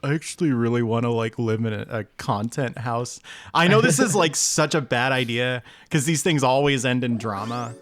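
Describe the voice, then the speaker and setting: A woman's voice: extremely low pitch, animated, normal speed. A woman streamer leading the questions, chatting casually and laughing at herself. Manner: self-deprecating, giggly. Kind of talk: casual group chat on a livestream.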